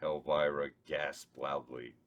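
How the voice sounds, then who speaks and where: A man reads out the stage directions at a table read. His voice is very deep, and he keeps a plain, steady delivery. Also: slow pace, dull audio. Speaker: narrator reading stage directions. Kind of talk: reading a script aloud.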